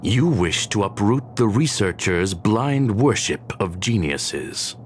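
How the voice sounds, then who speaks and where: An actor playing a courteous, coolly logical genius ruler in a video game, with formal, analytical phrasing. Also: male voice, fairly low pitch, expressive, takes it slowly, a little hiss or hum. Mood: knowing. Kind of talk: scripted video game cutscene dialogue.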